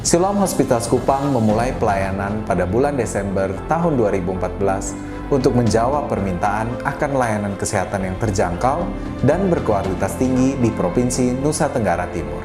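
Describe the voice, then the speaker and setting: A man's voice: lowish voice, lively intonation, a little fast. A man from Indonesia, a doctor and hospital director, delivering a formal scripted corporate presentation. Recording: echoey room with music behind. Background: background music.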